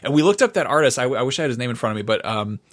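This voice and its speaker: A man with a mid-pitched voice talks casually as a podcast co-host. He tells stories in a wry, animated manner and swears freely. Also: very fast. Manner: animated, profane, wry storytelling. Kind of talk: casual chat.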